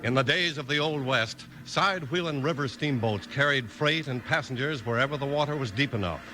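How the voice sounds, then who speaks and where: A man's voice: mid-range voice, fairly quick, bright, forceful tone, slight background noise. A man announcing and narrating a 1950s radio show in a polished, emphatic showman's delivery. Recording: old 1950s radio recording.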